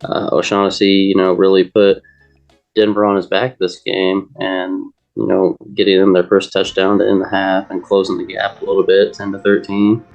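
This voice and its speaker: A man giving casual game analysis as a panelist, informal and somewhat halting in his phrasing. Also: low-pitched, monotone, creaky, a little mellow, dull audio, soft background music. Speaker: sports show panelist.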